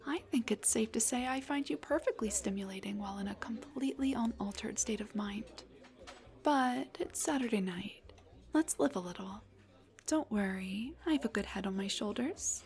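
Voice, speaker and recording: A woman's voice: mid-range voice, normal speed, a little mellow, natural voice. A woman playing a shy, nervous dullahan on a blind date in an intimate audio roleplay, speaking in a flustered, hesitant, rambling way. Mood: playful, relaxed. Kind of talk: intimate scripted audio roleplay addressed to the listener.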